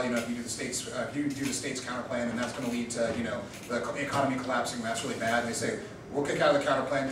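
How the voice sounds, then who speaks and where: A man's voice: mid-range voice, recorded from a distance. A young man giving a joking, informal lecture on debate theory and quizzing his students. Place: classroom. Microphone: far room mic. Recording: echoey room recorded from afar with noise.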